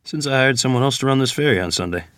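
A man's voice: mid-range voice, lively intonation, racing, a bit bright, dry recording, clean studio sound, natural voice. A man playing a plain-spoken rural ferryman, husband and father, in a table read. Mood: wry, warm.

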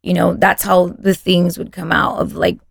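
Woman guest from Texas, Spanish first language, heavy vocal fry, dry close mic, storytelling chat. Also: fairly low pitch, flat delivery, normal speed, no room sound. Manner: warm storytelling with frequent restarts. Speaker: podcast guest. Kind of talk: casual interview chat.

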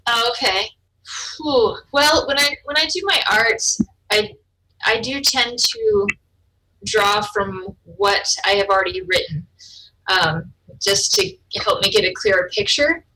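A woman with a bright, sharp voice heard a little back from the microphone. She talks in a loose, chatty way, laughs easily, and trails off mid-thought. German was her first language. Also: fairly high pitch, sing-song, measured pace, recorded from a distance, slight background noise, low-quality recording. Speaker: author and artist, interview guest. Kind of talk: casual interview conversation.

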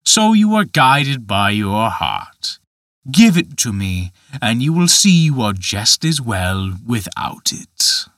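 A man voicing a huge, scornful forest spirit who tempts a young man, speaking slowly and mockingly. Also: mid-range voice, very animated, a bit bright, no echo, clean studio sound. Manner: slow, mocking, emphatic.

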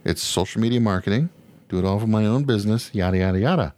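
Low-voiced man, sweary casual podcaster. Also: quick pace, a little mellow. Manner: profane, self-deprecating, rambling. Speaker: podcast co-host. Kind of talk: casual comedic podcast chat and ranting.

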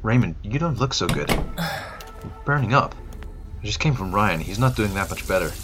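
A man's voice: fairly low pitch, lively intonation, normal speed, a bit bright, faint music behind. A man playing a caring, hopeful scientist in an audio drama. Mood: concerned. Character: caring, hopeful male scientist tending sick animals.

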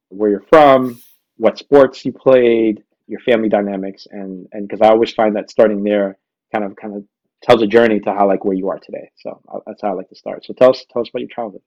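A man's voice: on the low side, fairly even intonation, a little fast, highs cut off. A man hosting a podcast, chatting in a casual, informal, slangy interview style. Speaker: podcast host.

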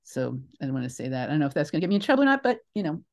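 Older American woman, slightly low voice. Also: sing-song, racing. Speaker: interview guest, theatre creator. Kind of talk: conversational interview answers.

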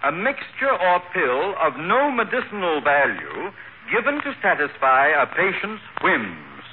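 A man's voice: fairly high pitch, wildly swooping pitch, unhurried. An American man playing a pompous, self-satisfied mayor in 1940s radio comedy, speaking formally and with flustered hesitation. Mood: bemused. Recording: noisy, tinny 1940s radio broadcast.

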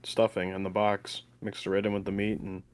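A man's voice: on the low side, flat delivery, a little fast, dry recording. A man co-hosting a podcast, answering briefly in a matter-of-fact way. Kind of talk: casual chat.

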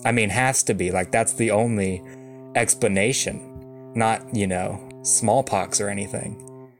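Male storyteller, medium pitch, expressive fairy-tale narration, wry and dry, soft guitar music behind. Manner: wry, with dry comic asides. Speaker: storyteller, reader.

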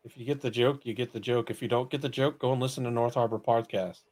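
A man's voice: mid-range voice, fairly even intonation, fast talker, darkish tone. A man co-hosting a podcast in a casual, colloquial, joking way, as in chat and plugs. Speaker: podcast co-host.

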